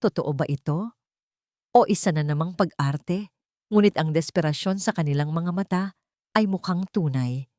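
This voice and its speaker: A woman's voice: deep voice, moderate pace, long pauses, mellow, no echo, studio quality. A Filipino woman reading a scripted dramatic story as its narrator.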